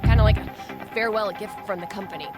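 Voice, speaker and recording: A woman's voice: mid-range voice, rapid-fire, loud music behind the voice, close to an everyday voice. An actress playing an injured, earnest female ally in a video game, speaking frankly and protectively. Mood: weary warmth. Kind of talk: video game cutscene voice acting.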